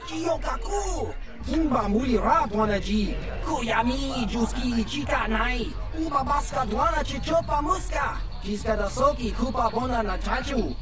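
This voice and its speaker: An extremely high-pitched insectoid thug from a video game. It jabbers menacingly in a clipped, made-up alien language. Music plays behind it. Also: male voice, lively intonation, normal speed, hardly any pauses. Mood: threatening. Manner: clipped, babbling invented alien tongue. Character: menacing bug-eyed alien thug speaking alien gibberish. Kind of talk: video game creature dialogue.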